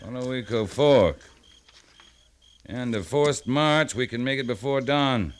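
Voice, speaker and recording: An actor playing a seasoned, decisive American cavalry captain who gives clipped, authoritative orders in a 1950s radio western. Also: male voice, medium pitch, unhurried, clean tone, close to an everyday voice. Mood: brisk, commanding. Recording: noisy 1950s radio broadcast recording.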